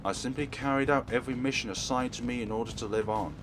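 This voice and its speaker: A man voicing a cold, grieving boy killer from a visual novel, grave and deliberate in his speech. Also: mid-range voice, lightly acted. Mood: bitter, resigned. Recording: music playing behind.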